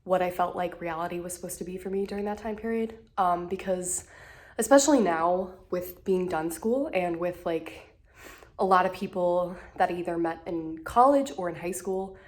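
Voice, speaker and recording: A woman's voice: lowish voice, moderate pace. Congested, hesitant young woman vlogging. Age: 20s. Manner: hesitant, lots of fillers and restarts, self-deprecating. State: congested, slightly out of breath. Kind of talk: rambling casual vlog. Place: at home indoors. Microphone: phone held at arm's length.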